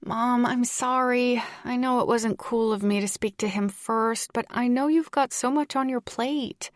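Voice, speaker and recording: A woman's voice: medium pitch, moderate pace, no room sound, studio quality, lightly acted. A woman voicing a dramatic, gushing young adult daughter in an audiobook, with an emphatic, youthful delivery. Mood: apologetic. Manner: whiny, enthusiastic, emphatic. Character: dramatic, gushing college-age daughter on the phone.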